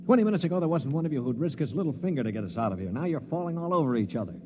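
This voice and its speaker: Animated cynical spaceman, played by a man in vintage radio drama. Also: on the high side, rapid-fire, a little hiss or hum, close to an everyday voice. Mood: puzzled, resolute. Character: cynical, weak-handed man captive aboard a spaceship, finding hope. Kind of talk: 1950s radio drama acting with first-person narration. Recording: muffled 1950s radio recording with music.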